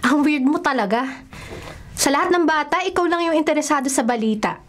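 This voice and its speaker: A woman's voice: sits high, a little fast, natural voice. A woman playing an irritable older sister in a Filipino radio drama, scolding and dismissive, with music behind her. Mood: exasperated. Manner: scolding, exasperated. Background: background music.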